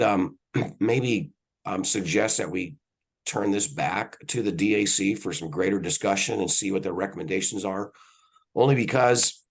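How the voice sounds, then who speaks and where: A hoarse man, a board director, giving rambling comments at a public meeting and clearing his throat. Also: fairly low pitch. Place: council meeting room.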